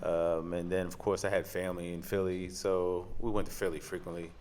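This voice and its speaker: A man's voice: sits low, level tone, a little fast, a little mellow. A middle-aged man from Pennsylvania with an American accent, reminiscing casually as an interview guest in a laid-back, conversational way. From Allentown, Pennsylvania, USA. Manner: laid-back, peppers talk with "you know". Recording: clear background noise.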